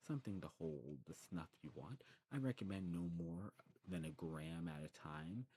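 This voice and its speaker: A man with a very deep voice plays a friendly demon shopkeeper in an ASMR roleplay. He talks informally and knowledgeably, rambling and correcting himself as he recommends goods. Noticeable ambient noise sits behind his voice. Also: a little mellow. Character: friendly demon snuff shop keeper, knowledgeable and enthusiastic. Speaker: ASMR performer playing a snuff shopkeeper. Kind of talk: ASMR shop roleplay, casual recommending chat.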